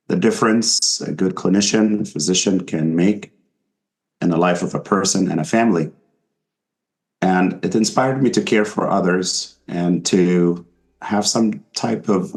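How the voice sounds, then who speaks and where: A physician guest from Egypt, a man who speaks thoughtfully and hesitantly with many fillers. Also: sits low. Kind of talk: podcast interview.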